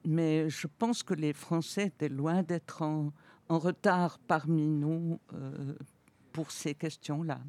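A woman's voice: low-pitched, steady tone, takes it slowly, darkish tone. A woman of eighty, a guest on a radio interview, recalling her career thoughtfully with frequent hesitations and restarts. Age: 80s.

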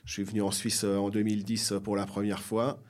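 A man's voice: on the low side, flat delivery, a little fast, darkish tone. A middle-aged man from Seine-et-Marne, France, answering as an interview guest, hesitant and often repeating his phrases. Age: 40s or 50s. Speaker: guest writer. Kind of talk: interview answers, personal recollections.

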